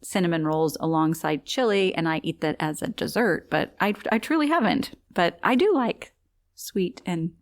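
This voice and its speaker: A woman's voice: lowish voice, animated, normal speed. A woman hosting a casual book club chat, friendly and laughing often, with plenty of fillers. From Kansas.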